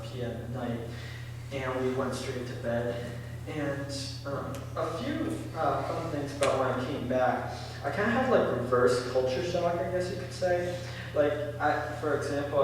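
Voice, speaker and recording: A teenage boy giving an informal talk to an audience, halting and full of ums and likes. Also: medium pitch. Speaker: presenter. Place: senior center hall. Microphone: distant room mic. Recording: echoey hall from far off, faint hiss.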